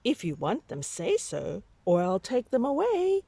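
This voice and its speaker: A woman's voice: a little high, huge pitch swings, unhurried, vocal fry, mellow, breathy edge, no echo, voice pushed up, exaggerated voice. A woman voicing a small, plucky but easily frightened boy in a children's storybook, exclaiming in swooping phrases. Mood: plucky, calling out.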